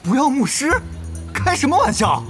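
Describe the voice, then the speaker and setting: A man's voice: very high voice, huge pitch swings, moderate pace, a bit bright, full character voice. A man playing a skeptical, sarcastic young online gamer in an audio drama, with a mocking, dramatic manner. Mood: indignant, challenging. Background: music.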